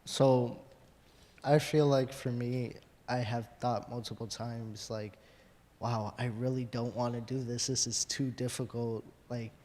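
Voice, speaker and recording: A student musician speaks on a panel in a flat, even voice, hesitant and full of fillers. A long reverb from the hall follows his words. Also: mid-range voice, a little slow, a little hiss or hum. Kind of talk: live panel discussion. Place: large hall.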